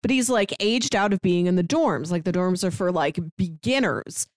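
A woman's voice: lowish voice, normal speed, no room sound, studio quality. A woman co-hosting a manga discussion podcast, talking casually and slipping into little mock dialogues to make her points. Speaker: podcast co-host reviewing manga.

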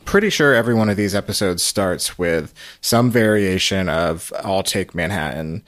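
A man with a low voice hosts a casual recap podcast. He speaks in a halting, conversational way, and the sound is dry and close. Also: expressive, a bit bright, no room sound. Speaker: podcast host.

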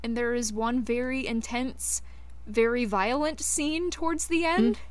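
A woman with a fairly high voice speaks as a guest co-host on a podcast. She talks in a casual, conversational way, as if recommending something to friends. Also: unhurried. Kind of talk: casual chat, giving a recommendation.

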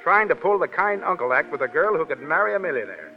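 A man's voice: fairly high pitch, very animated, fast talker, faint music behind. An actor playing a brash, self-effacing American Foreign Legion private in 1930s radio drama, with blunt, slangy tough-guy talk. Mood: bitter, resigned. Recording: vintage 1930s radio, dull, hall reverb.

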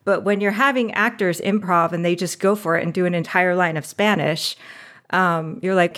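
Woman, mid-pitched voice, chatty, enthusiastic, laughs often, interview guest. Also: fairly bright tone. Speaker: audio drama producer and guest. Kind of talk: casual interview chat.

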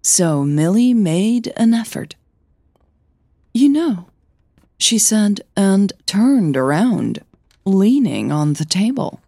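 A woman narrating scripted audio fiction in a gentle, deliberate storytelling manner. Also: medium pitch, sing-song, vocal fry, no room sound, studio quality. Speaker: narrator and podcast creator.

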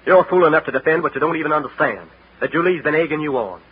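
An actor playing a hot-headed, protective young gentleman, blunt and haughty, in a 1940s radio drama. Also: on the high side, lively intonation, quick pace, breathy edge. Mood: curt, angry. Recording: muffled 1940s radio recording.

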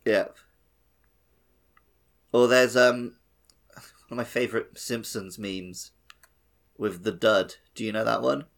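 A man's voice: on the low side, slow pace, creaky, long pauses, a bit bright. A man chatting casually as co-host of a podcast. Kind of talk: casual chat.

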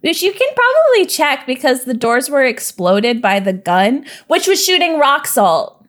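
A woman's voice: high voice, sing-song, measured pace, a bit bright, heavily acted. A woman voicing a naive, chatty young woman from a goddess commune, rambling and giggly in a tabletop roleplay. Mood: cheerful. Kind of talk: in-character roleplay.

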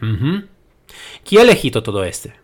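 Lively Italian-accented man. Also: medium pitch, brisk. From Italy. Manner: lively and emphatic, thinking aloud with self-corrections. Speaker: podcast host. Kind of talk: solo talk to listeners.

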